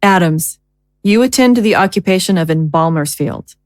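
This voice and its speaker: An actress playing a commanding government leader in an audio drama, speaking with formal, clipped authority. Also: female voice, fairly low pitch, lively intonation, moderate pace, darkish tone, no room sound, studio quality, close to an everyday voice.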